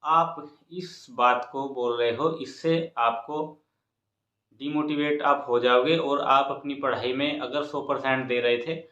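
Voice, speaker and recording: A man's voice: medium pitch, fairly even intonation, unhurried, a bit bright. A man giving students an informal exam update in a repetitive, encouraging manner. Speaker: exam coaching YouTuber and host. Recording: echoey room, dull muffled sound.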